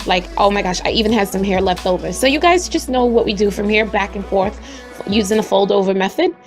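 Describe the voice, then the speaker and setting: A young woman with a slightly raspy voice talks viewers through a hair tutorial in a chatty, slangy, friendly way, speaking to them directly. Her pitch sits in an ordinary middle range. Soft music plays quietly underneath. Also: fairly quick. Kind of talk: casual tutorial narration.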